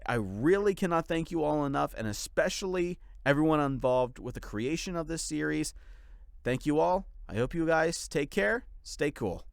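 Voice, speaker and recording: A man giving a casual update to his followers as a channel creator, speaking in a gushing, grateful, informal way with a clear, smooth voice. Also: a little high, expressive, moderate pace, no echo, clean studio sound.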